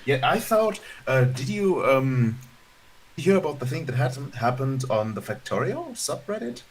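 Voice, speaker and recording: A man's voice: medium pitch, sing-song, measured pace, rough recording. A man in casual chat with a hesitant, meandering delivery. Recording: faint hiss behind voice.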